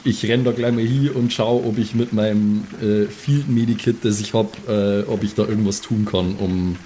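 A man's voice: fairly low pitch, dark tone, a little hiss or hum. A man improvising as a player in a casual tabletop roleplaying game, hesitantly groping for words. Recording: music behind the voice. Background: background music.

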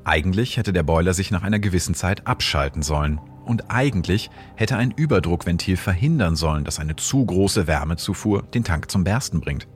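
A man hosting a science podcast, explaining events in calm, scripted narration. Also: low-pitched, fairly quick, few pauses, soft background music. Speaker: host and astrophysicist.

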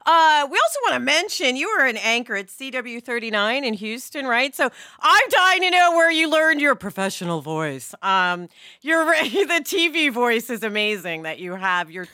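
A middle-aged woman co-hosting a podcast in an emphatic, expressive conversational style. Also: sits high, moderate pace, dry recording, studio quality. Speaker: podcast co-host. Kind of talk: animated conversational discussion.

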